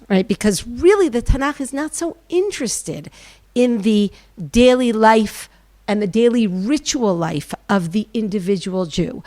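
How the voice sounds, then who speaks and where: A woman's voice: fairly high pitch, darkish tone, no room sound. Middle-aged woman teacher, thoughtful and earnest, leans hard on key words, dry sound. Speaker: guest Bible teacher. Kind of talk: reflective lecture-style answers. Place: live event before an audience.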